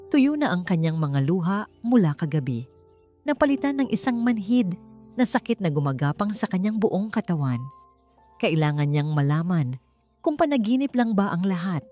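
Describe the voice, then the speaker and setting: A woman with a low voice narrates a romance drama over music. Her delivery is measured and melodramatic, in the manner of scripted storytelling. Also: dark tone. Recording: music behind the voice. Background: background music.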